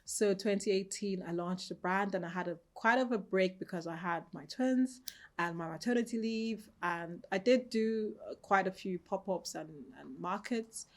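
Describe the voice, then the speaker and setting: A woman in her thirties, raised in Austria, answering interview questions calmly and reflectively in her boutique. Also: medium pitch, normal speed. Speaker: boutique owner, guest.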